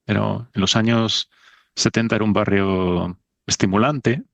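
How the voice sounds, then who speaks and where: A man's voice: fairly low pitch, fairly even intonation, measured pace, vocal fry, no echo. A middle-aged man from Spain, a podcast guest talking in a thoughtful, self-deprecating way. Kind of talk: casual conversation.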